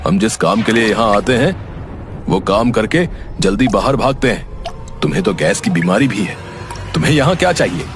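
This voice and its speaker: A man's voice: fairly low pitch, lively intonation, moderate pace, music in the background, natural voice. A man voicing a blunt, skeptical, exasperated mason in a comedy cartoon, often questioning in disbelief. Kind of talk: comedy cartoon story acting.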